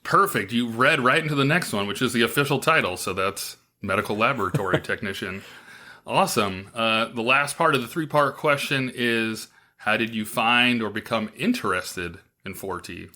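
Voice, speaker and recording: A man's voice: medium pitch, lively intonation, moderate pace, sharp tone. A man hosting an interview podcast in a casual, upbeat way, with plenty of filler words. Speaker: podcast host.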